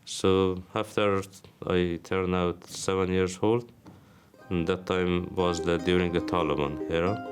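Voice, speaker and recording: A young Afghan man speaking English with an Afghan accent, telling his life story haltingly with many pauses. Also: deep voice, flat delivery. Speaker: interviewee. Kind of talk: documentary testimony. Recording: music bed under the voice.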